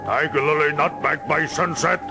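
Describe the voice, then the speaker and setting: Imperious chief, gravelly, clipped broken phrasing, music behind, children's storybook drama. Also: male voice, a little high, steady tone. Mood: stern, threatening. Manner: clipped broken sentences, slow and declamatory. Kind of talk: children's story-record acting.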